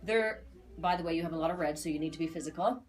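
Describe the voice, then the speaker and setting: A woman's voice: fairly low pitch, fast, a little mellow. Emphatic American woman giving a reading. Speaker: aura reader. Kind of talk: psychic reading, casual explanatory chat with clients.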